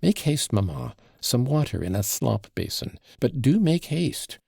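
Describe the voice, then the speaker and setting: A capricious invalid girl snaps out orders and teases in a raised, lively voice, as a male audiobook reader puts her on. The sound is gravelly and husky, close and dry. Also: medium pitch, unhurried, no room sound, voice pushed up, full character voice. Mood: imperious. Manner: sharp, bossy and mocking.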